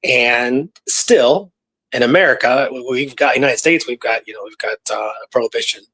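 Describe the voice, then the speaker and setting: A man with a husky, mid-pitched voice co-hosts a show. He talks in a casual, storytelling way, somewhat hesitant as he feels for the right words. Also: normal speed, a bit bright, rough recording. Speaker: podcast co-host.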